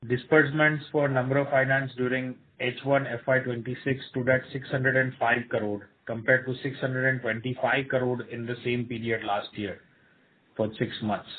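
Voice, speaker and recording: A man with an Indian accent, a company executive reading out a financial report in a formal, measured manner. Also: mid-range voice. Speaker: company executive presenting results. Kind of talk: scripted financial report on an earnings call. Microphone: telephone conference line. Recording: tinny narrow phone line.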